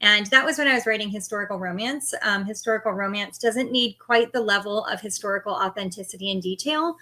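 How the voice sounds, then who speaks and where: A middle-aged woman answers interview questions about her writing life candidly, often breaking into easy laughter. Her voice is bright and clear, at a middling pitch for a woman. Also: quick pace. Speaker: author interview guest.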